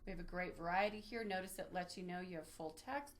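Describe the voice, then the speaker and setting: A woman's voice: fairly low pitch, fast talker. A woman giving an informal, unscripted instructional walkthrough, explaining things step by step as she demonstrates on screen. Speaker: librarian giving a database tutorial.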